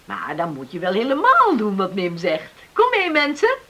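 A woman's voice: mid-range voice, wildly swooping pitch, normal speed, sharp tone, heavily acted. An actress playing a bustling motherly woman, kindly and bossy, in a hissy old radio drama. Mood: fond, bossy.

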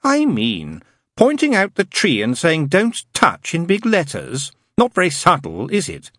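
A man's voice: on the high side, wildly swooping pitch, a little slow, a bit bright, no echo, clean studio sound, voice pushed up, full character voice. A man doing a sardonic, teasing demon. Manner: sarcastic, teasing. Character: sardonic male demon, former serpent, drawling and wry.

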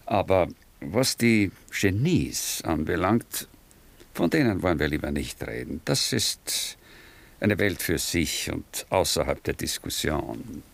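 An actor playing a self-assured, formal middle-aged professor in a German radio drama. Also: male voice, deep voice, animated, slow, creaky, full character voice. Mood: complacent. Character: self-satisfied, orderly male professor and husband.

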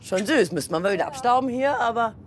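A woman chatting casually and informally while looking around indoors in a flat. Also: on the high side, fast, a crowd in the background. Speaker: participant exploring a stranger's flat. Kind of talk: casual chat. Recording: faint background noise, room ambience.

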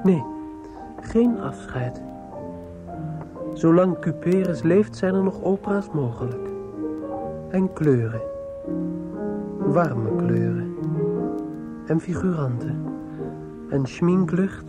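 An actor playing an earnest, sensitive young student, a dutiful son, in a radio drama. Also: male voice, on the high side, expressive, slow, long pauses, over loud music. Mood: musing, lyrical.

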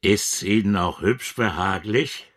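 An actor playing a simple, bashful country carter in a radio drama, speaking in an awkward, laconic way. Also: male voice, on the low side, takes it slowly, a bit bright, dry recording, clean studio sound.

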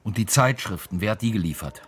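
An actor playing a sober, sceptical middle-aged police detective in charge, in a naturalistic radio drama, with a husky voice. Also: male voice, fairly low pitch, animated, fast talker, a bit bright, slight background noise. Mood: sober, questioning. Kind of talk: naturalistic radio play dialogue.

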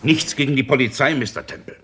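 An actor playing an impatient, stubborn businessman with a rough edge in an early 1960s radio play. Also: mid-range voice, fast, fairly bright tone. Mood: impatient, scornful. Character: impatient, stubborn middle-aged businessman, scornful of police.

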